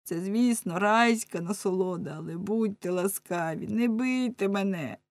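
A woman's voice: low voice, moderate pace, gravelly, a little mellow, no echo. A woman voicing a big, timid, faithful husband in a storybook, stubborn and plaintive, with a pleading manner. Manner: pleading, whining.

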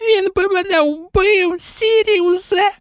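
A woman voicing a cowardly, pleading little man in a thin, whining squeak for a storybook reading. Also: takes it slowly, dry recording, studio quality. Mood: desperate wailing. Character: cowardly, whimpering, pleading balding man, traitor.

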